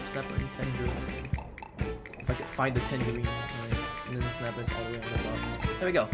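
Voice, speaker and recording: A man doing casual live speedrun commentary, explaining the game in halting, hesitant phrases. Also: medium pitch. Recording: game music behind the voice. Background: video game music.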